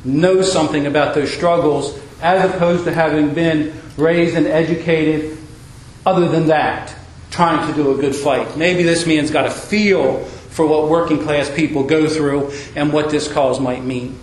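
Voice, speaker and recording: A man's voice: fairly high pitch, steady tone, unhurried. A man hosting a public event, introducing and welcoming a speaker before an audience. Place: large indoor church room. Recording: echoey room, faint noise.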